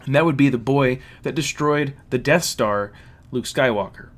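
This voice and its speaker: Man, medium pitch, fan channel host, explanatory story recap, reading from notes, casual asides. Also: normal speed.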